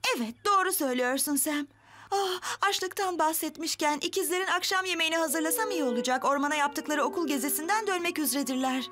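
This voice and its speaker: A woman's voice: high voice, fast talker, fairly bright tone. A woman playing an anxious, apologetic, caring mother in a children's cartoon, speaking fretfully. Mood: worried. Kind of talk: dubbed children's cartoon acting.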